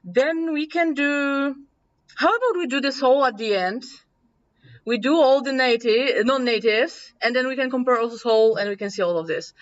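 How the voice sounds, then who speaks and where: A woman from Poland with a mild Polish accent, casually teaching a workshop and thinking aloud as she demonstrates software. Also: high voice, normal speed. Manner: chatty, self-correcting, thinking aloud. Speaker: workshop presenter. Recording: distant, dull room recording.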